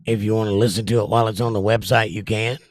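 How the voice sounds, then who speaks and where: A man's voice: lowish voice, little pitch movement, fast talker, no room sound, studio quality. Older man hosting a livestream chat, worn voice. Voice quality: voice worn from years of trouble. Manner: reads viewer comments aloud and answers them. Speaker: livestream host reading chat.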